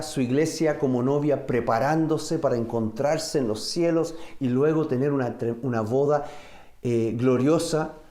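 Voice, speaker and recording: A man from the United States, a native English speaker, preaching a sermon in a deliberate way, pausing and searching for words. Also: a little high, dark tone. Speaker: pastor. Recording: roomy, echoing sound.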